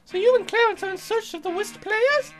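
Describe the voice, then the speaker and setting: A man voicing a courteous foreign naval officer in a very high falsetto with a put-on accent and formal, polite phrasing. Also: brisk, a bit bright, faint music behind. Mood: courtly.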